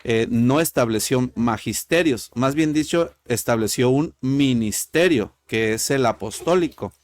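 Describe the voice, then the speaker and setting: A man's voice: medium pitch, unhurried, dry recording. A man from Tijuana with a Mexican Spanish accent, calling in to a religious show and speaking hesitantly with many fillers. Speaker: caller. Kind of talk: call-in debate.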